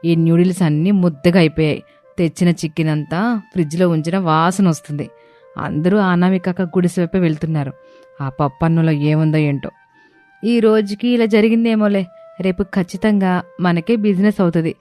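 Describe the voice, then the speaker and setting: A woman's voice: fairly low pitch, normal speed, dark tone, soft background music, natural voice. A woman voicing a plaintive young daughter-in-law in a moral family story. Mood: indignant, complaining. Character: proud young daughter-in-law, complaining then remorseful.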